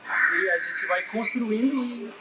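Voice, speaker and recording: A man from the United States with English as his first language, who works as a teacher. He talks with easygoing enthusiasm in a fairly high voice, with loud background noise behind him. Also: slow pace. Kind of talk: casual conversation.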